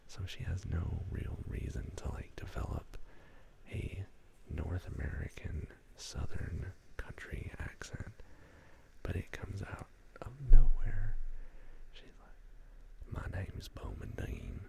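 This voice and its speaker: A man hosting an ASMR livestream, whispering in a breathy, gravelly voice and chatting to viewers in a laid-back, slangy, rambling way. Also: deep voice.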